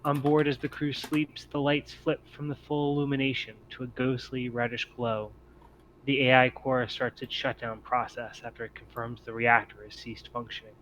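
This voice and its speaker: A man's voice: mid-range voice, little pitch movement, moderate pace, a bit bright, highs cut off. Man narrating over noticeable background noise. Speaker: game master. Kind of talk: scripted scene-setting narration for a tabletop game.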